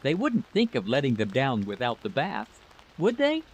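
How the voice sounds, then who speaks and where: A man voicing a gentle, anxious wife in a story, fretful, hesitant and pleading. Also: medium pitch, wildly swooping pitch, moderate pace, low-quality recording. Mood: uneasy.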